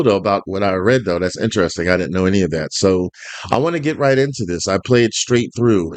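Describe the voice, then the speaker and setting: Low-voiced man in his fifties, rambling. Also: dry recording. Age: 50s. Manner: rambling, earnest, with frequent ums and restarts. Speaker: reaction channel host. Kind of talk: casual reaction chat and storytelling.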